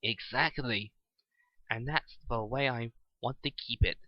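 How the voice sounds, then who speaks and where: A man voicing a gruff, scornful and smug villainous mad doctor in a dramatic story reading, with curt, mocking delivery. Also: medium pitch, measured pace, no room sound, lo-fi sound, close to an everyday voice. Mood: taunting.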